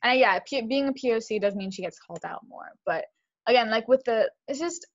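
A young woman co-hosting a podcast, talking fast and animated in casual chat, with lots of "like". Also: a little high, dull audio. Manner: fast, animated, heavy use of "like". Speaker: podcast co-host.

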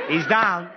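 A man's voice: fairly high pitch, heavily acted. A blustering, fed-up radio-play father. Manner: blustering, fussy and easily annoyed. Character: exasperated middle-aged father with a headache. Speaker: father of a teenage son. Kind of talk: 1940s radio acting. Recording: dull, faintly hissy 1940s radio recording.

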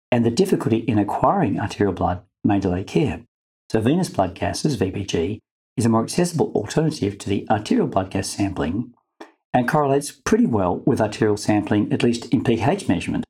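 A male medical podcast host with a low, mellow voice. His tone is husky and soft-edged, suited to narration and interview talk.

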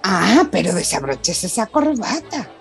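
An actress playing a sweet, attentive, coquettish waitress in a radio soap opera, with a cooing, obliging manner. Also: female voice, on the low side, sing-song, measured pace, gravelly, soft background music, full character voice.

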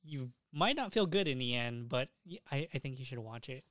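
A man's voice: medium pitch, lively intonation, brisk, fairly bright tone, no echo, clean studio sound. A young adult man hosting a casual podcast, chatting in an enthusiastic, informal way with plenty of swearing. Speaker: podcast host.

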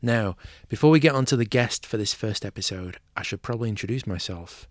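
A man's voice: on the low side, brisk, no echo, clean studio sound. A man from England with a Manchester accent, hosting a movie podcast and chatting enthusiastically with a guest. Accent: Mancunian English. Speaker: podcast host. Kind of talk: scripted intro then casual interview.

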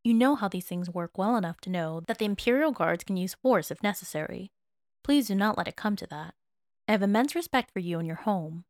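A woman's voice: medium pitch, expressive, fairly quick, dry recording, natural voice. Elderly male court official, composed, polite, formal, audio story, dry sound, acted by a woman. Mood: calm, insistent.

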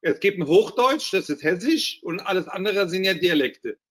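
A man's voice: sits high, expressive, moderate pace. A man interviewing a guest in a casual way, with informal, joking asides and frequent fillers.

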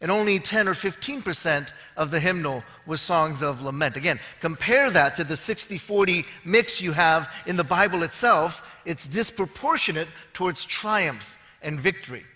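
A man's voice: fairly high pitch, lively intonation, moderate pace, few pauses, pushed and bright. Wry man in his fifties preaching. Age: 50s. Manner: wry, joking asides to the audience. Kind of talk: sermon with self-deprecating humor. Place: large auditorium with long reverb. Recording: long hall reverb on stage.

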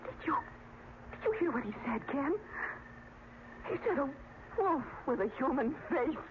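An actress playing a frightened, urgent small-town woman in an old-time radio drama, with a dramatic, halting delivery. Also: high voice, lively intonation. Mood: shaken. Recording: tinny, very noisy old radio recording.

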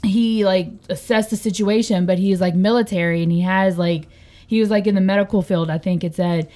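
A woman co-host on a radio show retelling a news item in a casual, halting way. She leans heavily on "like" as she goes, with a mid-range voice. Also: mid-range voice, steady tone, warm tone. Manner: hesitant, lots of "like".

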